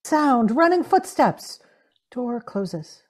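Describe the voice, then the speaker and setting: A woman's voice: on the high side, big pitch swings, takes it slowly. A woman reading out scripted sound effect cues in a clipped style, heard over a video call. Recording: dull video call audio.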